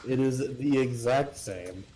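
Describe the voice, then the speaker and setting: A man's voice: medium pitch, unhurried, a crowd in the background, low-quality recording. A man chatting casually as a player in a tabletop game. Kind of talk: casual chat.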